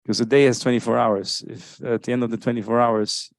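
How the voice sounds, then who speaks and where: A man's voice: mid-range voice, level tone, brisk, vocal fry, dry recording. A man, an author, answering audience questions at a live book launch, explaining thoughtfully and often checking in with "right?". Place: live event space with audience.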